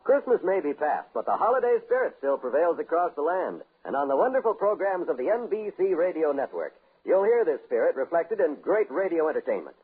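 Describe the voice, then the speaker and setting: American man, radio announcer, very husky, animated delivery, muffled vintage broadcast. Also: on the high side, brisk. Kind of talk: scripted 1950s radio promotional announcing. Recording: muffled phone-like 1950s radio recording.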